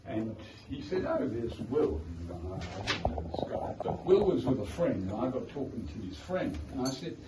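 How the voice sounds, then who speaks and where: A man's voice: medium pitch, very animated, moderate pace, few pauses. Wry South African man in an echoey gallery. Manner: anecdotal, digressive, wry. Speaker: author giving a launch talk. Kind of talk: informal speech to a crowd. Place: art gallery, indoors. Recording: echoey gallery, distant, noisy.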